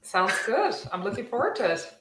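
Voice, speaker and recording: A woman in her thirties from Bulgaria, a tech leader chatting as a guest over a video call, friendly and quick to laugh. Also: on the high side, sing-song, a bit bright, a little hiss or hum. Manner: friendly, laughs easily, fillers. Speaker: guest, software development leader. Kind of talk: casual interview chat. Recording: roomy echo.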